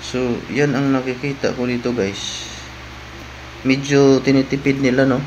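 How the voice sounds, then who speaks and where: A man giving a casual, halting unboxing narration as a vlogger, often tagging phrases with a questioning word. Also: medium pitch, fairly even intonation, a little mellow, slight background noise, poor audio.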